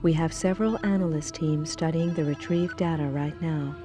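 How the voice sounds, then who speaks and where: An actress playing a composed police briefing officer, speaking formally and precisely in a video game. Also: female voice, deep voice, fairly even intonation, normal speed, lightly acted. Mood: detached, official. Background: music.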